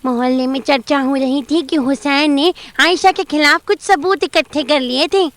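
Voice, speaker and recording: A woman's voice: sits high, steady tone, normal speed, a bit bright, dry recording. A woman playing a gossipy, suspicious middle-aged mother in an audio drama, colloquial and scolding. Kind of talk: Hindi audio drama acting.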